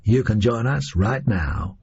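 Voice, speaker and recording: A man voicing a menacing brigand leader who gives brisk orders in an audiobook story. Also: lively intonation, darkish tone, slightly rough, heavily acted. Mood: commanding, smug. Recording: dull, muffled low-bandwidth audio.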